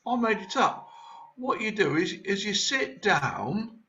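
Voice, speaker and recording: A man's voice: sits high, measured pace, highs cut off, a little hiss or hum, exaggerated voice. A man reading a cheeky schoolboy in an amateur play reading. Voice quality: cheeky, lively. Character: cheeky scruffy schoolboy playing Herod.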